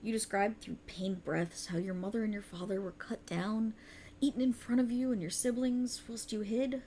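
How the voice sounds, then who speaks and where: A woman's voice: mid-range voice, steady tone, warm tone, slight background noise, lightly acted. A woman voicing a cruel, condescending otherworldly warlock patron in a theatrical, taunting ASMR roleplay, close to the microphone. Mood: sly reminiscing. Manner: taunting, theatrical, mock-affectionate, drawn-out emphasis. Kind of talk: ASMR roleplay, dramatic monologue.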